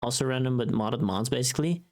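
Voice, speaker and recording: A livestream host chatting casually with viewers in an animated, informal, sweary way. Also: mid-range voice, fast talker.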